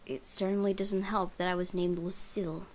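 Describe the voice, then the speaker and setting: A woman reading visual novel narration aloud for a let's play, with expressive, theatrical storytelling. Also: medium pitch, normal speed, slight background noise, rough recording. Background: music.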